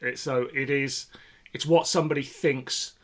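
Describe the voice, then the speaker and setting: A man's voice: mid-range voice, fairly even intonation, normal speed, a bit bright. A man co-hosting a podcast, explaining background details in a casual, conversational review style. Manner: informative, explanatory. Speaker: podcast co-host.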